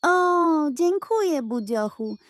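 A woman's voice: high voice, expressive, slow, no room sound, clean studio sound, pitched above the performer's own voice. A woman voicing a pleased, polite goat in a children's story, speaking in a sweetly teasing way.

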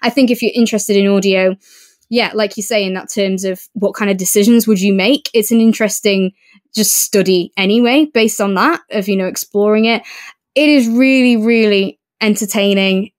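A woman talks in the relaxed style of a book review podcast. Her pitch is average and her delivery is effusive and keen. The sound is dry, with very little room. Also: moderate pace, no echo. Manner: enthusiastic, effusive. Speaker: podcast co-host. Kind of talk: casual book review discussion.

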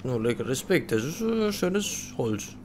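A man's voice: mid-range voice, big pitch swings, unhurried, a little mellow, a little hiss or hum. A man, a native German speaker, giving casual let's play commentary over a game. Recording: faint game sound behind commentary.

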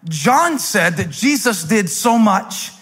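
A husky, fairly high-pitched man preaching from a big church stage, his handheld mic carrying a long ringing reverb. He moves from quiet storytelling to loud, fervent emphasis. He is a pastor in his forties. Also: sing-song, slow. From United States. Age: 40s. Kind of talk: sermon. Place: large stage in a church hall.

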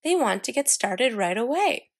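Excitable little girl acted by a woman, high voice with some creak, dramatic storybook delivery, proud and fancy. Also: normal speed. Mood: proud, eager.

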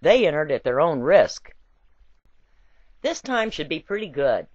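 Woman reading a story out loud, kinda low voice, really playful and theatrical, muffled lo-fi audio. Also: sing-song, a little slow, no room sound. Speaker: reader of a written essay. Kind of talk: expressive scripted narration of a satirical essay. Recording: dull, lo-fi home recording.

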